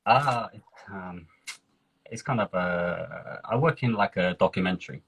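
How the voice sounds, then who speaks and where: A young English man speaks as a guest in a casual interview, with a deep, husky voice. He talks slowly and hesitantly, pausing on fillers as he moves between learner Portuguese and English. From England. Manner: hesitant, many fillers, laughs often.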